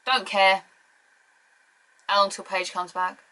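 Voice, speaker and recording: A young British woman chatting excitedly to camera, giving opinionated and playfully teasing wrestling predictions. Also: mid-range voice, slow, rough recording. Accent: British English. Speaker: wrestling preview vlogger. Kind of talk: casual opinionated chat to camera.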